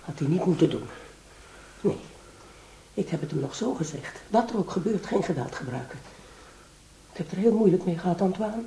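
Scheming wife in a radio play, creaky voice, coaxing, faint noise behind her. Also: fairly low pitch, animated, moderate pace, long pauses, dark tone, low-quality recording. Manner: coaxing, insistent, feigned concern. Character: scheming ambitious bourgeois wife, coaxing and calculating. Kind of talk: Dutch radio drama acting.

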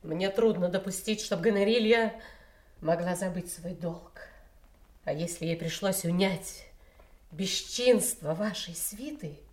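A woman acting a cold, haughty princess and stern daughter, speaking in a measured, formal, reproving way in classical tragedy style. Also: on the low side, a little mellow, echoey room, lightly acted. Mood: coolly reproving. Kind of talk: classical stage tragedy, audio play acting.

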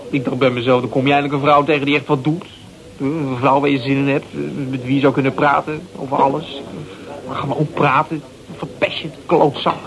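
An actor in a Dutch radio play as a defensive, insecure young man who talks haltingly in coarse, slangy language. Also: on the high side, natural voice, poor audio. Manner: halting, repeating words, coarse slangy swearing. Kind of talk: naturalistic Dutch radio drama acting. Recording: radio play with crowd chatter behind.

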